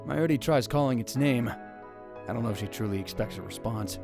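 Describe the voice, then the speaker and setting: A man's voice: mid-range voice, a little fast, lightly acted. Man acting a theatrical young mad scientist, music behind. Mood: narrating. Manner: theatrical, brooding, self-important. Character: dramatic, paranoid young male self-styled mad scientist. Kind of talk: visual novel fan dub, first-person narration and dialogue.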